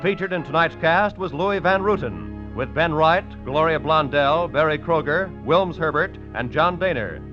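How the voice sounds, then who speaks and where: Higher-voiced male 1940s radio announcer. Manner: formal, measured enunciation. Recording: dull vintage 1940s radio with music.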